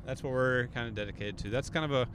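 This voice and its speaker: A man's voice: medium pitch, fast talker. Man chatting casually in a noisy car. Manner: joking, playful banter. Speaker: podcast co-host. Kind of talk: casual chat.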